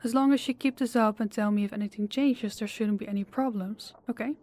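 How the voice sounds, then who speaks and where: A woman playing a poised, controlling female boss in an audio roleplay, speaking formally and with measured, condescending sweetness. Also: on the high side, a little mellow, close to an everyday voice. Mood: coolly reassuring. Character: poised, controlling female boss with veiled menace, affectionate toward employee.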